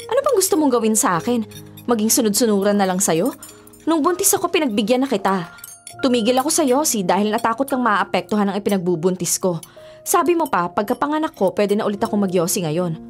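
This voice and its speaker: A woman's voice: mid-range voice, expressive, fast talker, soft background music, natural voice. A woman with a Filipino accent playing a defiant, argumentative young mother hooked on gambling in a radio drama. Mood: indignant. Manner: argumentative, emphatic.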